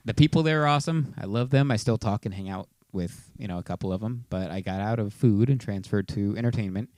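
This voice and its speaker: Mellow, low-voiced man telling a story. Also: quick pace, no room sound. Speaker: podcast co-host. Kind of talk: casual personal storytelling on a podcast.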